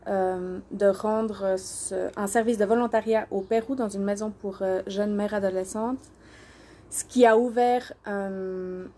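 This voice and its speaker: A woman's voice: medium pitch, steady tone, measured pace, mellow, a little hiss or hum. A woman from Switzerland and Portugal introducing herself informally on a live stream, speaking hesitantly and searching for her words. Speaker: doula and alternative therapy practitioner hosting a live stream. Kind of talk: informal self-introduction on a social media live.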